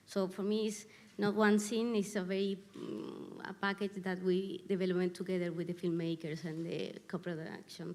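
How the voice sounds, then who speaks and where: A woman co-producer answers while searching for her words. She speaks in a flat, even tone, and a long reverb trails after her voice in the hall. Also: lowish voice. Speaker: film co-producer. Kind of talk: panel discussion answer.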